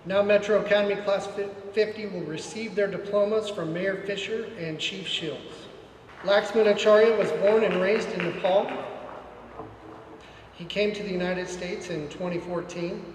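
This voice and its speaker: A man's voice: sits high, steady tone, a little hiss or hum. A man acting as master of ceremonies, formally reading out introductions of graduates. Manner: formal, measured announcing. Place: large indoor hall. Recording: echoey hall from far off.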